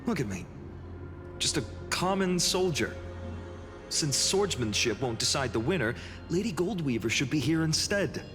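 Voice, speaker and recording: A wry young soldier. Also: male voice, on the high side, big pitch swings, normal speed. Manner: wry, self-doubting. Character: humble young male common soldier. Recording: game music behind.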